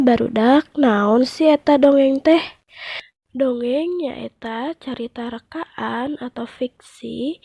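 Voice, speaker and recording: A woman's voice: a little high, vocal fry, mellow, husky, dry recording. A woman with a Sundanese accent, a primary school teacher giving a lesson and narrating stories for children.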